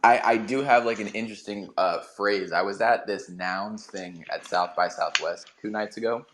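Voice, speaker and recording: A man co-hosts a casual interview in a relaxed, friendly way, using fillers as he talks. His voice is a bit low, and he joins over a remote call. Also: moderate pace. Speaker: co-host.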